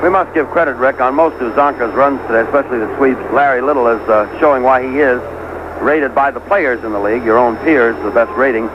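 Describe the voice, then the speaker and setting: American male radio analyst for football, medium pitch, brisk delivery, noisy 1970s broadcast recording. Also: highs cut off. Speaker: color commentator.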